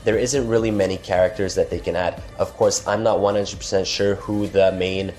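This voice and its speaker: A man with a deep, low voice, nasal and stuffed up from a cold, rambles casually through gaming news as a channel host. He leans on repeated stock phrases and restarts. Faint music plays quietly under him. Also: fairly even intonation, normal speed. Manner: chatty, lots of restarts and filler phrases. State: congested from a cold. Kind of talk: casual game news commentary.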